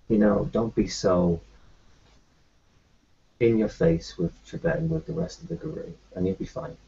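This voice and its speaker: A man in an in-character tabletop roleplay, talking in a halting, reassuring way with many restarts. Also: on the low side, gravelly, warm tone, dull audio, slight background noise.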